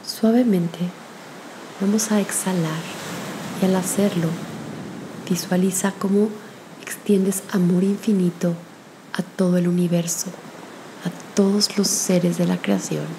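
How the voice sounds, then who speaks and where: A woman leading a guided spiritual meditation in a gentle, soothing manner with long pauses. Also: lowish voice, steady tone, noisy background.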